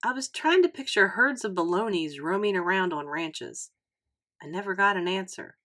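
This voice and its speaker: A woman storyteller narrating a children's book aloud in an expressive style. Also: medium pitch, normal speed. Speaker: read-aloud storyteller, teacher.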